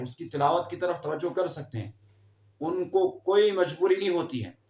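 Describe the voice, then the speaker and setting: A man giving a religious explainer talk as an Islamic teacher, in a measured, didactic way. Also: on the high side. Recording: echoey room, dull muffled sound.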